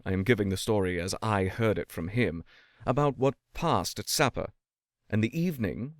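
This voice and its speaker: A man giving a measured, expressive reading of a classic ghost story as a scripted narration. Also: mid-range voice, no echo, clean studio sound. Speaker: audiobook-style story reader.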